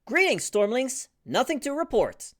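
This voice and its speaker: Woman doing a high-pitched chipper gatekeeper guard. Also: big pitch swings, unhurried, exaggerated voice. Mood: chipper, dutiful. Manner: bright salute-like greeting, formulaic reporting. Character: chipper, dutiful gatekeeper guard greeting passers-by, video game style.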